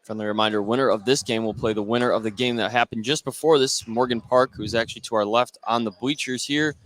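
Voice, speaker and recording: A man's voice: mid-range voice, steady tone, quick pace, a bit bright. Male play-by-play announcer in a noisy gym. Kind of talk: live basketball commentary. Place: indoor gym beside bleachers. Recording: clear gym noise behind voice. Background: gym ambience.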